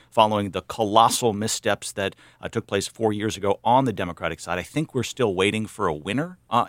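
A man hosting a public radio news roundup from a studio, relaxed with joking asides. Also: fairly low pitch, no room sound. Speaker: radio show host. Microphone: studio microphone.

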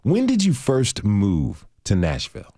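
Guy hosting public radio, lowish voice that moves around a lot, dry close studio sound. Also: huge pitch swings, a little slow, mellow, no echo. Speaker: radio show host and interviewer.

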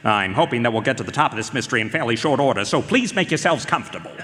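An actor playing a courteous, businesslike private detective in a live radio drama. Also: male voice, mid-range voice, lively intonation, rapid-fire, fairly bright tone, natural voice. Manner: polite, businesslike. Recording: live concert hall with reverb.

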